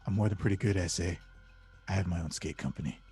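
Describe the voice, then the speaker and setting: A man's voice: sits low, fast, mellow, slightly rough, soft background music. A man playing a cocky young action star villain in a table read, with a smug, laid-back bro drawl. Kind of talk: table read of a comedy film script.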